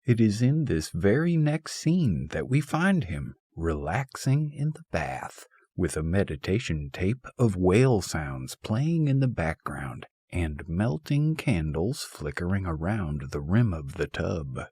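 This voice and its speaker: Deep-voiced man reading an audiobook, slow and husky. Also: big pitch swings, darkish tone, dry recording, clean studio sound. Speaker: audiobook narrator. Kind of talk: scripted humorous essay narration.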